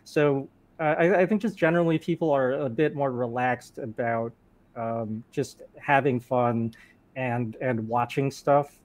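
A man's voice: medium pitch, measured pace. Man raised in Thailand, stammering a little. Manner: stammering restarts. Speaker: panel guest. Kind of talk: casual panel discussion.

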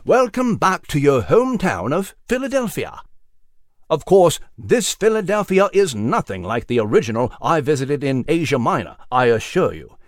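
A man plays a genteel mouse who speaks in courtly, formal phrases in a storybook. His voice rises and falls in wide sweeps and sits a little high. The sound is close and dry. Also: sing-song, moderate pace, no room sound. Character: genteel old male mouse, proper and kindly.

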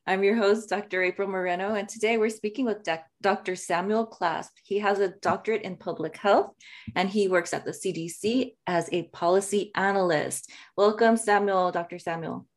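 A woman's voice: mid-range voice, steady tone, moderate pace. A woman hosting a podcast interview, friendly and a little stumbling over names. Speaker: podcast host.

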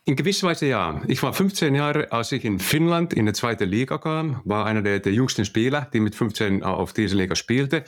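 A man's voice: mid-range voice, lively intonation, brisk, few pauses. A middle-aged man from Finland with a Finnish accent, answering interview questions hesitantly with many fillers. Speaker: guest, managing director.

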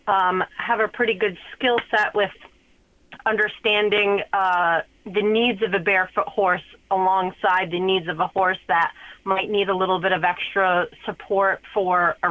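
A woman's voice: medium pitch, dry recording. Woman, farrier, rambling with fillers, tinny phone line, dry sound. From New Hampshire. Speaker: farrier, hoof care provider. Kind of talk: phone interview.

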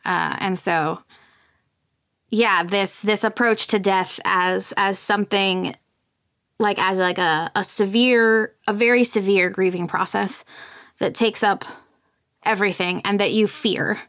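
A woman from Puerto Rico who hosts a podcast speaks at a middle pitch. Her talk is relaxed and friendly, full of casual fillers. The recording is dry and close. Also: slow, dry recording. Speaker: podcast host.

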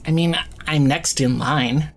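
An actor playing a flippant, guarded young magic-user in an audio drama, casual and profane, with a halting, sardonic delivery. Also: male voice, on the high side, gravelly, soft background music. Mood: bitter, uneasy. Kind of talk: naturalistic audio drama acting.

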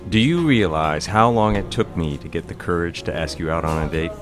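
A man's voice: deep voice, lively intonation, a little fast, over music. A man playing an earnest, courteous young suitor in a period audio drama, with a slightly hesitant manner. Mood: earnest, admiring.

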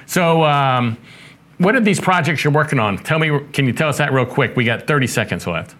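A man speaks as the host of an interview show. His pitch moves around a great deal, giving him an animated delivery. He is folksy and tends to repeat himself as he puts questions. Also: brisk.

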